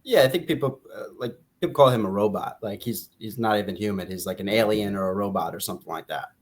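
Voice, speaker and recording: An American man talks casually as a podcast co-host discussing a book. He speaks in a conversational, halting way, often circling back to rephrase what he means. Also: on the low side.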